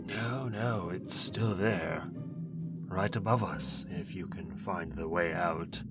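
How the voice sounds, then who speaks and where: A man's voice: sits low, slow pace. A man playing a pompous chained necromancer. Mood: scheming, persuasive. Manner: theatrical, pompous and wheedling. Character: ancient bound male necromancer, pompous and wheedling. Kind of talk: ASMR audio roleplay. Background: music.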